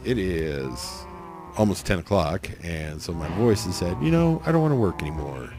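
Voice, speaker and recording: Hoarse guy w a cold, really low gravelly voice, slow rambly game commentary. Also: big pitch swings. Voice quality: raspy. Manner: rambling, thinking aloud with many fillers. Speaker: let's play host. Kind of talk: casual gameplay commentary.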